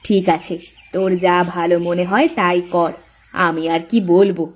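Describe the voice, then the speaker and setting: A woman's voice: on the low side, steady tone, moderate pace, lightly acted. A woman voicing a protective, hardworking elder co-wife in a village cartoon, speaking in a firm, motherly, advising way. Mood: indulgent, instructing. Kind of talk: cartoon voice acting.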